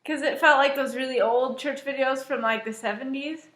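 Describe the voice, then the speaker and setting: A woman's voice: high voice, steady tone, moderate pace, a bit bright, roomy sound. A woman guest giving chatty, casual feedback, full of "like". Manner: chatty, lots of "like". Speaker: guest friend. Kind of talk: casual chat critique.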